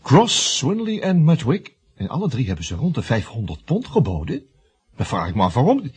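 An actor playing a cheeky, ambitious junior estate agent in a radio play, bluffing and flattering hesitantly. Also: male voice, medium pitch, moderate pace, husky, full character voice. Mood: incredulous, wry. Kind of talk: Dutch radio drama acting.

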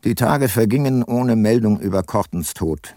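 Radio play lead: man playing grave older detective, lowish pitch, close dry sound, reflective and deliberate. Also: animated, warm tone, dry recording, lightly acted. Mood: sombre, reflective. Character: brooding older male detective, grave and resolute. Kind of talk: radio drama dialogue and first-person narration.

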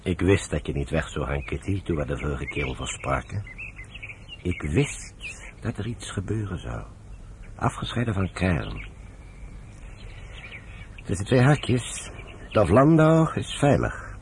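Deep-voiced, devout general, animated delivery. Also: male voice, background noise, full character voice. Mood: confiding, relieved. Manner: measured, earnest, emphatic. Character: sober, devout British general and loyal friend. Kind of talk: Dutch radio drama acting.

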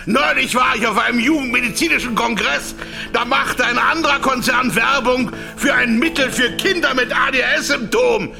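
A man playing a cynical, cornered pharmaceutical executive in a radio play, shouting emphatically. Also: extremely high pitch, moderate pace, gravelly, over music. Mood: furious ranting. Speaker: actor in a radio drama. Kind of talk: German radio play acting.

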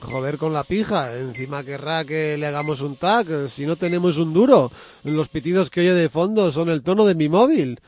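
A man's voice: fairly high pitch, moderate pace, a little mellow, a little hiss or hum, lightly acted. A man playing a blunt, evasive hospital doctor in a comic radio soap, hesitant and then crudely offhand. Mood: exasperated.

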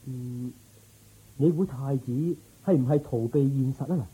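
An actor playing a dutiful, inquisitive military officer in a radio drama, with a musing way of talking. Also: male voice, mid-range voice, unhurried, warm tone, slightly rough, rough recording. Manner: musing, questioning.

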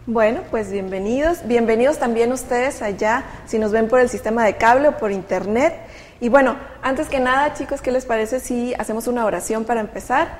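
A woman's voice: a little high, brisk, a little mellow, long reverb tail. Woman hosting a Bible study. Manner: friendly, leads discussion with fillers. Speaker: host of a Bible study program. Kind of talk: casual religious study chat.

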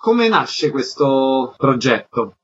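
A man's voice: mid-range voice, big pitch swings, takes it slowly, fairly bright tone, breathy edge, muffled recording. A man hosting a radio interview, putting concise questions and introductions to guests.

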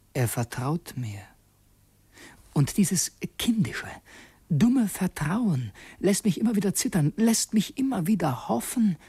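An actor playing a secretive, brooding would-be assassin in a radio drama, intense and introspective, as if confessing to himself. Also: male voice, on the high side, a little mellow, husky, no room sound, exaggerated voice. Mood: conflicted, musing. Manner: intense, introspective, halting self-questioning. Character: brooding young male conspirator and would-be assassin, torn and secretive.

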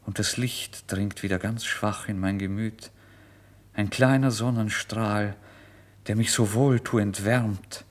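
A starving, feverish man with a lowish voice, proud and volatile. He tells his own story as a dramatic literary radio monologue. His delivery is theatrical and intense, able to move between hushed confession and forceful declaration. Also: steady tone, takes it slowly. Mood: hushed, tender. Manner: theatrical, intense, swinging from hushed to loud outbursts. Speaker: first-person narrator.

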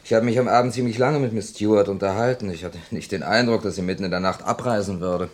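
A man plays an earnest witness who narrates a radio crime story. The voice is low, the phrasing deliberate and reflective, almost literary. A slight hiss of an old recording sits underneath. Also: steady tone, natural voice. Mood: puzzled. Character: reflective male hotel guest, narrator and witness. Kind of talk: German radio crime play narration and dialogue.

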